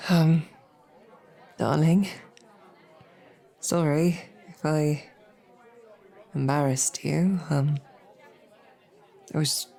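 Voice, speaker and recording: Woman playing a slow, creaky-voiced bashful lady knight. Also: low-pitched, warm tone, full character voice. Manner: halting, flustered, rambling. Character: bashful, lovestruck female royal knight, sweetly awkward and playfully snarky. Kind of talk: romantic fantasy audio roleplay.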